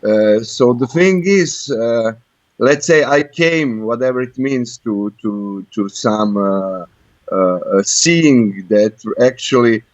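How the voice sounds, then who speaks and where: A man's voice: medium pitch, lively intonation, slow pace, dry recording. Muffled Croatian-accented man, hesitant caller. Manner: hesitant, groping for words. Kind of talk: casual, personal conversation. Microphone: internet voice call. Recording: dull lo-fi internet call.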